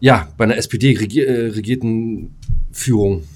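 Male co-host for a chat podcast, fairly low voice, informal conversational delivery. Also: moderate pace, darkish tone. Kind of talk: casual chat between co-hosts.

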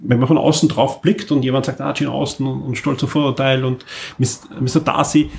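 A man with an Austrian accent and a medium-pitched voice hosts a podcast. He talks casually and likes to repeat and pile up phrases for emphasis. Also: little pitch movement, brisk, vocal fry, a little mellow. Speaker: podcast host. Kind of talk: casual discussion.

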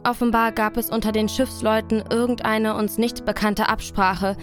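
A woman's voice: a little high, flat delivery, normal speed, music in the background, close to an everyday voice. A woman narrating a radio drama in the first person, earnest and somber.

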